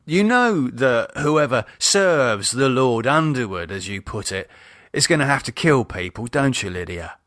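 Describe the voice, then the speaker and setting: Guy doing a sullen sardonic english brother in an audiobook, animated pitch, dry recording. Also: measured pace, no room sound. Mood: incredulous, appalled. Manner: sceptical, sardonic. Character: sullen, sceptical English brother, former army medic. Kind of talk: audiobook character acting.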